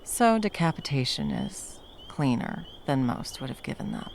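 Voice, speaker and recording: A woman playing a battle-hardened, fiercely protective monster hunter in an intimate ASMR roleplay, speaking to a lover with dry, tender menace and a gravelly voice. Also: very deep voice, wildly swooping pitch, slight background noise, exaggerated voice. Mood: cool, matter-of-fact. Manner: menacing yet tender, slow deliberate storytelling with dry understatement.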